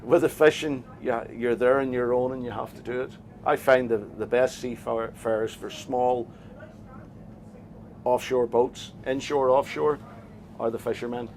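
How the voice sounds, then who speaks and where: A man's voice: mid-range voice, fairly even intonation, measured pace, a little mellow, slight background noise. A man with a Northern Irish accent, a boat skipper answering interview questions in a plain, hesitant way with many fillers. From Northern Ireland. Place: on a small boat offshore.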